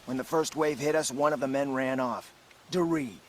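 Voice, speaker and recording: A man's voice: medium pitch, normal speed, slight background noise, close to an everyday voice. A man playing a pragmatic, beleaguered caravan boss in a video game, frank and plain-spoken. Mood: dismayed.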